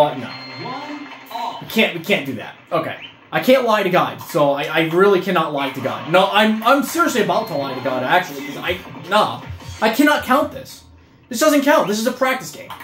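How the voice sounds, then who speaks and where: Young male gamer, very animated pitch, cursing and shouting, roomy echo. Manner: frustrated outbursts, cursing and yelling at the game. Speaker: gaming video creator. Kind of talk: casual gameplay commentary. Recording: roomy echo with faint game music.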